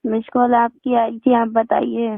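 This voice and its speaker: A woman's voice: fairly high pitch, completely flat delivery, a little slow, creaky, background noise. A young woman, speaking insistently and without fluster in a personal phone call. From northern India. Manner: insistent, unflustered. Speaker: phone caller. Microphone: telephone. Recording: tinny phone-line call recording.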